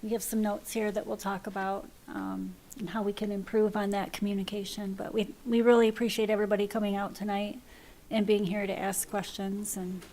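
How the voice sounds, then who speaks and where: A woman's voice: medium pitch, little pitch movement, fairly quick, mellow, rough recording. A woman moderating a public meeting, polite and procedural as she wraps up. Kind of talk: formal meeting wrap-up.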